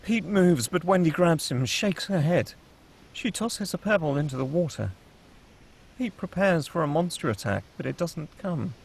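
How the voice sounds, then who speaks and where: A man with a husky voice reading scripted screenplay action as the narrator of a table read. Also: fairly high pitch, animated, moderate pace.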